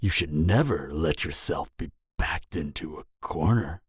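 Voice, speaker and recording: A man's voice: extremely low pitch, husky, no echo, clean studio sound, heavily acted. A man playing a cocky, flirtatious and dangerous vampire in an audio roleplay, teasing the listener with a smug, seductive delivery. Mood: seductive, menacing. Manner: teasing, smug, seductive drawl with dramatic pauses. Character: cocky, flirtatious, dangerous adult male vampire, former killer.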